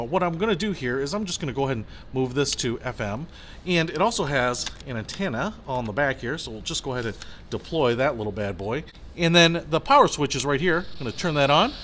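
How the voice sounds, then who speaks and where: A man's voice: fairly high pitch, a bit bright, slight background noise. A middle-aged American man giving a casual, chatty, enthusiastic product demonstration. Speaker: product review host.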